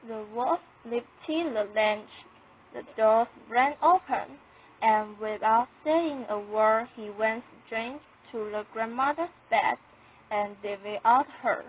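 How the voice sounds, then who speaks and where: High-voiced young woman reading a story. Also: takes it slowly, a little hiss or hum, rough recording. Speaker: narrator. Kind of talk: scripted storybook narration.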